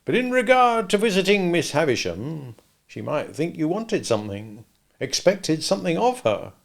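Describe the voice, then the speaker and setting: A man voicing a kindly, slow-witted working-class blacksmith who talks ponderously in rustic grammar. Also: fairly high pitch, very animated, gravelly, pitched above the performer's own voice. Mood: earnest, patient.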